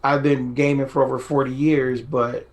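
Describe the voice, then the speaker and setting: A man's voice: mid-range voice, flat delivery, normal speed. Effusive middle-aged man chatting. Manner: effusive, repeats phrases for emphasis. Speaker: podcast co-host. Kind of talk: casual enthusiastic group chat.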